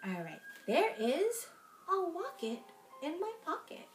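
A young adult woman reading aloud at a children's storytime, expressive and sing-song, with little asides to young listeners. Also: very high-pitched, takes it slowly, soft background music. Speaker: children's storytime reader in princess costume. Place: small room at home, indoors.

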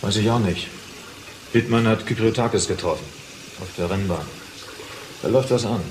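An actor playing an agitated, abrasive suspect in a crime radio play, edgy and indignant. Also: male voice, on the low side, normal speed, noisy background, close to an everyday voice. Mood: tense, secretive. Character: agitated, abrasive male suspect, secretly dealing.

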